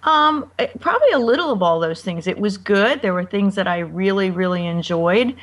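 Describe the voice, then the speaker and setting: An American woman, a cookbook author, answering interview questions in a friendly, halting way with frequent ums. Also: mid-range voice, animated, recorded from a distance. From United States.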